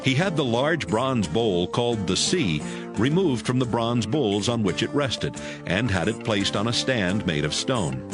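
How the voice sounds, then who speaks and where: A man with a fairly low voice narrates scripture in a dramatized audio Bible. His intonation is lively, and music plays underneath.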